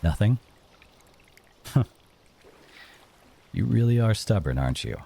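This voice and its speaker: Man playing stern, bitter merman interrogator, swooping pitch. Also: very animated, gravelly, dark tone, breathy edge, exaggerated voice. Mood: cold warning. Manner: stern, accusing, dramatic pauses. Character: bitter exiled merfolk man interrogating a captive hunter. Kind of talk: audio drama acting.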